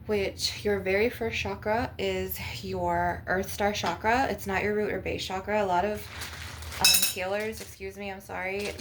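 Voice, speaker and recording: Woman, ASMR spiritual healer, gravelly fry, blunt and assertive, informal rambling, faint background noise. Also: medium pitch.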